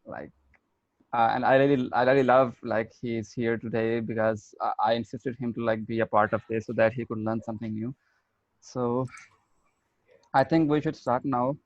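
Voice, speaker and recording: A man's voice: mid-range voice, fairly even intonation, normal speed, dry recording. A man from Pakistan hosting a casual video call meeting, talking hesitantly with many fillers and restarts. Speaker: meeting host, senior engineer. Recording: muffled lo-fi video call audio.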